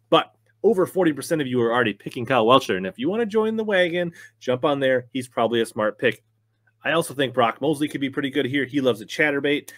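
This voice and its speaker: A man's voice: medium pitch, no echo. Upbeat man breaking down fishing picks. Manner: upbeat, conversational, talks with his hands. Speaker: fishing channel host. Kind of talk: casual fantasy fishing picks rundown. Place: small home studio with wood-plank wall.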